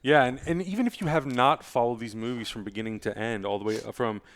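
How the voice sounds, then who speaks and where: A man's voice: medium pitch, quick pace. A man hosting a casual movie review, talking in a conversational, agreeable way. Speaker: show host.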